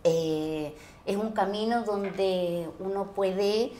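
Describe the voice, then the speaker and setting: A Chilean woman talking as a podcast guest, reflective and casual, with searching, halting phrasing. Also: medium pitch, dark tone. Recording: roomy echo, faint background noise.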